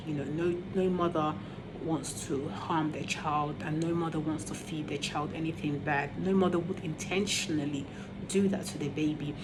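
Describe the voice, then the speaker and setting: A woman hosts a parenting vlog, her voice sitting low. She chats through her advice with many ums and restarts, and her is Yoruba. A steady noisy ambience runs clearly behind her. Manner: chatty, with frequent ums and restarts. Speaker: parenting channel host, mother of two.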